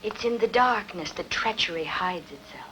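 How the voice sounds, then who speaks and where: A woman acting a proud, scheming queen in a dubbed historical epic, solemn and measured in her dramatic delivery. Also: on the low side, lively intonation, a bit bright, slight background noise, rough recording. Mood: ominous.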